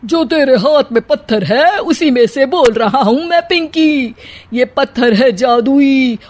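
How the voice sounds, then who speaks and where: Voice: high-pitched, lively intonation, measured pace. A performer voicing a mysterious talking magic stone in a cartoon story, proclaiming in sing-song rhyme. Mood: mystical, proclaiming. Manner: sing-song rhyming chant.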